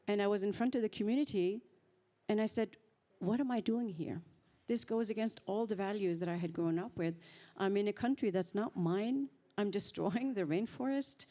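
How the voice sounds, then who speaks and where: A woman's voice: medium pitch, warm tone. Earnest woman. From Norway. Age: 50s. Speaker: panel speaker. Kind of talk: personal storytelling on a panel.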